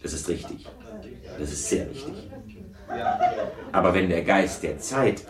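Radio drama: placating male provincial pastor, deep, animated, echoey room with crowd behind. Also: measured pace. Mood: appeasing. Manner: placating, formal. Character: deferential, appeasing provincial pastor. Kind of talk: German radio drama acting. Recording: roomy, busy dinner-party chatter. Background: crowd chatter.

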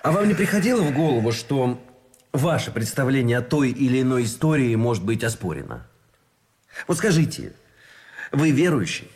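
An actor playing an earnest Russian army officer in a radio play, philosophizing and arguing through rhetorical questions. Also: male voice, medium pitch, sing-song, normal speed, a little mellow. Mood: earnest, persuasive.

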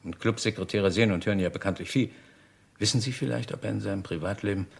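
An authoritative inspector from a radio crime play. His voice is low and gravelly. He questions people in a measured, polite way that leaves no doubt he is in charge. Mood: businesslike. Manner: measured, courteous, commanding questioning. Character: calm, authoritative male British police inspector. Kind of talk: German radio crime drama acting.

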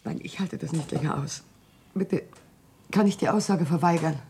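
A woman playing a distressed, evasive wife under questioning in a German crime radio drama, faltering and pleading in a very husky, breathy voice. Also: sits low, normal speed, a little mellow, a little hiss or hum. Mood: agitated, pleading.

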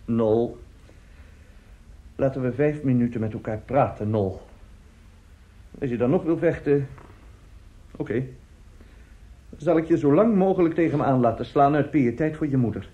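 An actor in a radio drama playing a middle-aged, self-justifying seducer and family friend, speaking haltingly and emphatically. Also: male voice, mid-range voice, warm tone, lightly acted. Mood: firm, placating. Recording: dull, muffled radio play recording.

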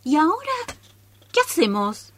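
A woman's voice: high-pitched, wildly swooping pitch, a little slow, a bit bright, slight background noise, exaggerated voice. An Argentine woman acting a naive, eager accomplice to a reckless experimenter in a radio play, earnest and reassuring. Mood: uneasy surprise.

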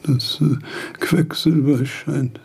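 Dying old philosopher in a radio drama, really slow n halting, mellow raspy voice, trails off. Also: male voice, fairly high pitch, steady tone, full character voice. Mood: labored, musing. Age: older. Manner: halting, repeats words, trailing off. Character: frail, dying old philosopher, nearly eighty, wandering mind. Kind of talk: German radio play acting.

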